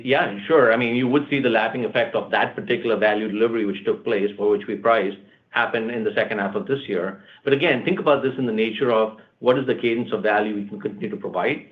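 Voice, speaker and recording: Fast-talking lower-voiced man on a phone line. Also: fairly even intonation. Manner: fast, repeats words, leans on "look" and "at the end of the day". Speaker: company executive. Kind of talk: earnings call answers. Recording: tinny phone-line audio.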